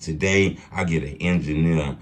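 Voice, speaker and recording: A man's voice: very deep voice, normal speed. A man hosting a casual street interview, asking laid-back, slangy questions and giving short affirmations.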